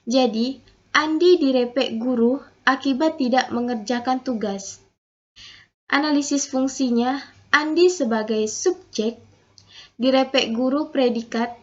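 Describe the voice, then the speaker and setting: High-voiced young woman reading methodically. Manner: steady, methodical, reads example sentences aloud. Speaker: student presenting a final assignment. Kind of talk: reading a prepared linguistics presentation. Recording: dull lo-fi recording.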